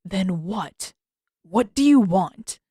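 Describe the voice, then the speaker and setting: A woman's voice: mid-range voice, expressive, slow pace, dark tone, breathy edge, no room sound. A woman reader puts on a prickly young prince in an adventure story. He is guarded and sullen, answering in short, defensive bursts with a dry edge. The recording has no audible room. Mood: guarded, impatient.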